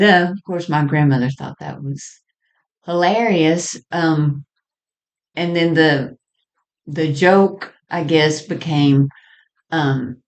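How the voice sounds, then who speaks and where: A woman telling personal stories in a halting, conversational way with frequent small chuckles as she reminisces. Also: sits low. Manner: halting and conversational, often chuckling mid-sentence. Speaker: storyteller sharing personal experience.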